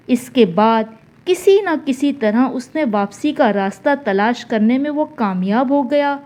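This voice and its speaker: A woman narrating a scripted moral tale in a measured, deliberate storytelling manner. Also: on the high side, a little mellow.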